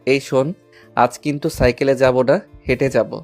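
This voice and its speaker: Man playing an even-toned young schoolboy. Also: medium pitch, normal speed, soft background music, lightly acted. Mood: eager, chummy. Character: affectionate, eager schoolboy, a doting older brother. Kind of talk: audio story acting.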